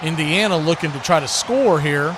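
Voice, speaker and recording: A man with an American accent doing excitable live play-by-play commentary of American football. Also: on the high side, moderate pace. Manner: excitable, calling action as it happens. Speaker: sports play-by-play announcer. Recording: clear background noise.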